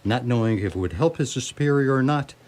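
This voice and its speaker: A man narrates a radio play in a mid-pitched voice. His delivery rises and falls in a lively way as he tells the story. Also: fairly quick. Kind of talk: scripted radio drama narration.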